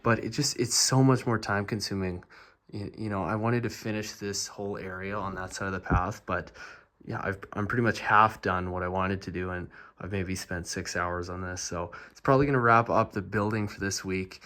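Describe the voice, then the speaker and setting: A young man with a stuffed-up, congested voice, casually narrating his LEGO building progress in a relaxed, rambling way. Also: low-pitched, normal speed. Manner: relaxed and rambling, frequent "obviously" and "uh". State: congested, stuffed-up nose from a cold. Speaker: LEGO builder and channel host.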